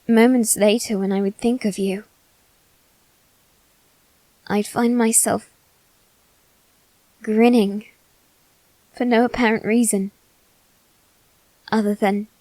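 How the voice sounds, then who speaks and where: A woman plays a proud, self-loathing young nobleman, a boy with a medium-pitched voice in an ASMR character drama. He speaks slowly and haltingly, with formal, theatrical phrasing. The sound is dry and free of room echo. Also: mellow, natural voice. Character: proud, wounded, self-loathing aristocratic boy facing his demon.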